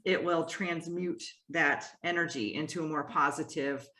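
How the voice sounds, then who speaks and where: A woman hosting a casual spiritual podcast chat, talking loudly and with animation and laughing often. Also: low-pitched, normal speed, roomy sound. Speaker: podcast host.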